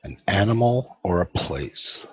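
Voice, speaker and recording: A man leading a guided meditation as host, giving slow, soothing instructions with long pauses and a husky voice. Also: deep voice, animated. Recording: dull, lo-fi, roomy sound.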